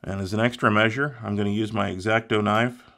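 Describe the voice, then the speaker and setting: A man's voice: lowish voice, steady tone, brisk, gravelly, fairly bright tone. A man walking through an electronics repair step by step in a plain, methodical way. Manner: methodical, plain instructional delivery. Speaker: repair instructor.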